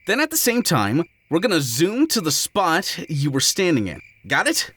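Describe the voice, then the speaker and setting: A man's voice: fairly high pitch, wildly swooping pitch, moderate pace, pushed and bright, husky, heavily acted. A man playing a rugged, laid-back, snarky cartoon hedgehog hero in a fan audio drama, blunt and sardonic. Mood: cool, snarky.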